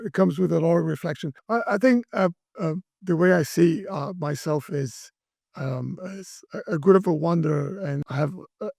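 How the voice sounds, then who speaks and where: A middle-aged man from Brazil, speaking as an interview guest, talking in a halting way with many restarts and fillers. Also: a little high, vocal fry, dark tone, breathy edge, dry recording. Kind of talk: interview conversation.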